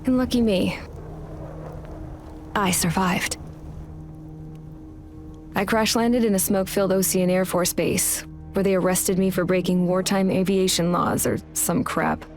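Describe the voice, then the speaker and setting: A woman's voice: mid-range voice, fairly even intonation, measured pace, long pauses, natural voice. An actress playing a world-weary female pilot, narrating a war in the first person with a reflective, wry, matter-of-fact manner. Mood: wry, bitter. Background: music with guitar.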